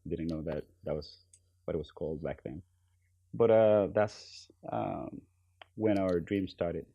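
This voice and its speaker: Low gravelly guy from venezuela, hesitant, lots of ums, dry muffled audio. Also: measured pace, dry recording. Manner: hesitant, many ums and uhs, soft trailing phrases. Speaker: podcast guest. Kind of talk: relaxed conversational interview.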